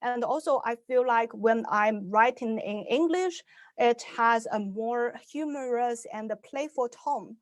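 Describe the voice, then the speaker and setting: A young adult woman from Shanghai whose first language is Chinese, giving an online talk in English about her writing, pausing often with "uh" and "um" and restarting her sentences. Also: on the high side, dry recording. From Shanghai, China. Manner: hesitant, many "uh" and "um" fillers, restarts. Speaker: science fiction author giving a talk.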